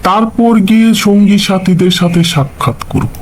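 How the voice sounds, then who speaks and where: A man's voice: high voice, moderate pace, slight background noise, lightly acted. A man voicing an easygoing crow in a children's cartoon, musing aloud. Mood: content. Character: easygoing newcomer male crow who likes his rest. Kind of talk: children's animal cartoon dubbing.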